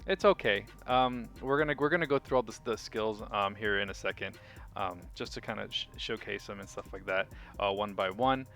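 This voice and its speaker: Male gaming guide host, lowish voice, casual commentary with an informal, think-aloud delivery, music underneath. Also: a little fast, fairly bright tone.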